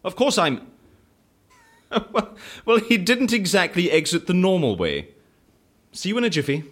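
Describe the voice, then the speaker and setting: Wry, theatrical boss for audio drama; mock-genteel, eccentric, very lively intonation. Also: male voice, high voice, measured pace, slight background noise, full character voice. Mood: brisk, amused. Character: suave, eccentric, dice-obeying male office boss, wry and theatrical. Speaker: lead character in an audio drama.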